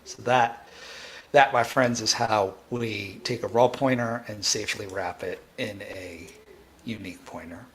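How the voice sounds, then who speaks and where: Slow, low-voiced man thinking aloud. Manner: halting, self-interrupting, informal. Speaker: livestream host, programming instructor. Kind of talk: live coding tutorial, thinking aloud.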